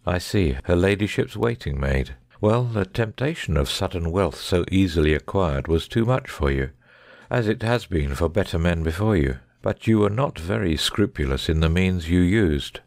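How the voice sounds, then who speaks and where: A man's voice: sits low, expressive, moderate pace, gravelly, darkish tone, no echo, studio quality. A man playing a shrewd, commanding, coolly sardonic detective with precise, formal speech in an audiobook dramatic reading. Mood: stern, accusing.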